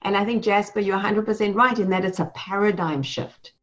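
A woman's voice: mid-range voice, fairly quick. Affirming woman host, muffled audio. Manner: affirming, brief interjections. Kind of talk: casual panel talk.

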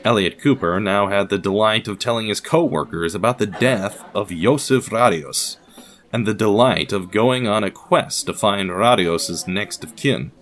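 A man's voice: fairly low pitch, measured pace, a bit bright. A man reading scripted narration as the narrator of an audio production.

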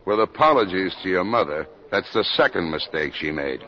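An actor playing a brusque, sarcastic, hard-bitten police captain in a 1950s radio drama. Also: male voice, deep voice, lively intonation, moderate pace, noisy background. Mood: scornful. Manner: brusque, sardonic, streetwise. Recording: dull vintage 1950s radio recording.

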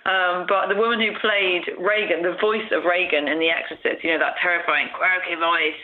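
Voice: medium pitch, fairly even intonation. Chatty podcast co-host on a phone-like line. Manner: chatty and quick to joke. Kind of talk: casual chat telling trivia. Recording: phone-like narrow sound.

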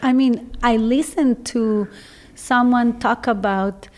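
Soft-spoken Costa Rican woman guest. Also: fairly high pitch, slight background noise. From Costa Rica. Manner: gentle, thoughtful, with pauses and restarts. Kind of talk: personal testimony in a podcast interview.

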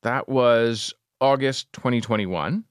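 A man's voice: mid-range voice, slow pace, clear, resonant tone, dry recording. Conversational male journalist, dry close sound. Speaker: investigative journalist telling of a story. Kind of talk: conversational storytelling interview.

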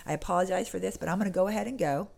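A woman's voice: fairly low pitch, racing, vocal fry, a little mellow. An American woman speaking as an activist guest in a conversational interview, earnest and insistent, acting out little exchanges as she goes. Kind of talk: conversational interview talk with acted-out anecdotes.

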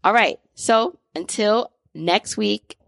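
A woman in her thirties hosts a podcast with an upbeat, chatty manner, dropping "like" freely as she talks. Her pitch sits mid-range, and the sound is dry and close. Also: slow pace, vocal fry, no room sound. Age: 30s. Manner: upbeat, chatty, many "like" fillers. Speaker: podcast host. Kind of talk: casual interview and outro.

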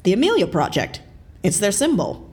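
A woman's voice: medium pitch, wildly swooping pitch, moderate pace, darkish tone. A woman playing a brusque, evasive CIA agent in an audio drama, with a dry, blunt delivery. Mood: deliberate.

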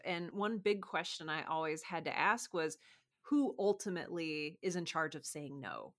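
Woman interviewer with a lowish voice. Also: a bit bright. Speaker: podcast host and interviewer. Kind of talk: conversational interview.

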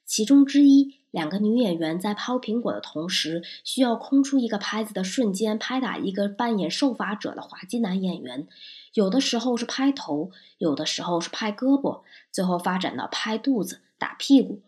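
Woman, medium-pitched voice, podcast reviewer, scripted first-person narration. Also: animated, normal speed, darkish tone.

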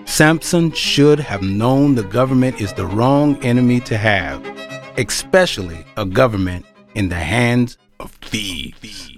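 A man's voice: fairly low pitch, lively intonation, a little slow, faint music behind. A man narrating a scripted audio drama in a wry storytelling manner.

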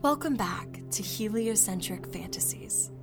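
An actress in audio fiction playing a self-important, earnestly mystical video host who narrates with solemn, portentous questions. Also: female voice, mid-range voice, lively intonation, unhurried, over music.

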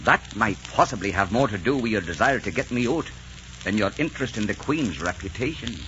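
A low-pitched, ardent nobleman, declaiming love and defiance in grand 1940s radio fashion. His delivery swings widely in pitch, on a muffled old broadcast recording with music behind it. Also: lively intonation, fairly quick, fairly bright tone, a little hiss or hum. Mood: defiant, cold. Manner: theatrical, romantic declaiming. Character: ardent, bold Scottish lord, lover of a queen. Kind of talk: 1940s romantic radio drama acting.

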